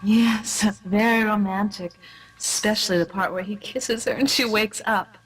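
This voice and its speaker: A woman's voice: medium pitch, steady tone, normal speed, husky, close to an everyday voice, rough recording. A woman playing a wisecracking, melodramatic heroine in a comic radio play, theatrical and sardonic. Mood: romantic.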